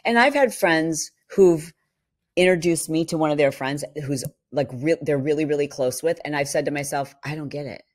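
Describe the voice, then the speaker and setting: A middle-aged woman co-hosting a casual podcast, her voice husky from a vocal cord injury, telling stories and acting out quotes. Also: low-pitched, normal speed. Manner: animated storyteller, acts out quotes. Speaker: podcast co-host. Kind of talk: casual chat. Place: home studio. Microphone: studio mic on boom arm.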